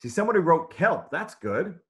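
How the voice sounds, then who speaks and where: A man's voice: on the high side, big pitch swings, fairly quick, a bit bright. A man reading aloud and teaching young children in simple, encouraging, instructive phrases during an online lesson. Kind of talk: interactive children's read-aloud lesson over video call.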